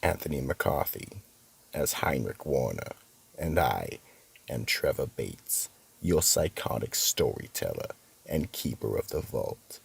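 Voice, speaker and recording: A man hosting and narrating scripted horror stories in a theatrical, ominous manner, with a very deep, gravelly, husky voice. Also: big pitch swings. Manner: slow, ominous, dramatic. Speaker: narrator and host.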